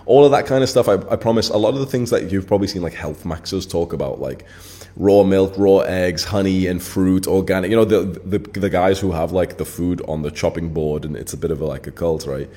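A man's voice: sits low, brisk, dark tone. A man in his late twenties hosting his own channel, talking blunt and casual straight to camera, swearing freely and leaning on filler words. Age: 20s. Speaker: channel host.

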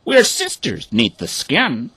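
A woman voicing a kindly flock of ducks in a storybook, in a high, sing-song way. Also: huge pitch swings, takes it slowly, a bit bright, breathy edge, dry recording, heavily acted. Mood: welcoming. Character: kind-hearted, welcoming flock of ducks.

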